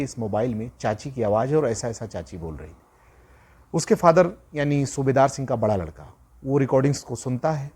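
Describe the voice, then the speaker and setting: A man's voice: mid-range voice, darkish tone, husky. A man narrating true crime in a conversational, moralizing way, repeating phrases for emphasis. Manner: repeats phrases for emphasis, addresses listeners directly.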